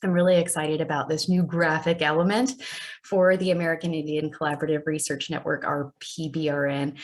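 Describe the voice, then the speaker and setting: A middle-aged woman from Alaska, a physician giving a conference talk over a video call in an unhurried storytelling way. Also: low-pitched. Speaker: physician and research network director, presenter. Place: indoors, virtual background.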